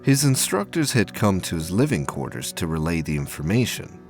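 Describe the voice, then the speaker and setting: A man's voice: sits low, very animated, moderate pace, soft background music. A man reading scripted narration as the narrator of an audio drama.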